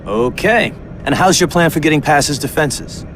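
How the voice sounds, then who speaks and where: A man's voice: on the high side, expressive, moderate pace, natural voice. A man playing a direct, questioning soldier ally in a video game, sounding concerned. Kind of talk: video game cutscene acting. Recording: noisy vehicle ambience.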